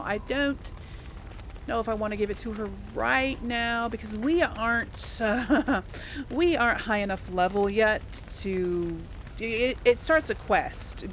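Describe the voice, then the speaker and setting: A woman's voice: medium pitch, a bit bright, slight background noise. A woman hosting a casual role-play let's play, speaking in a hesitant, thoughtful way. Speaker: gaming video host and role-player.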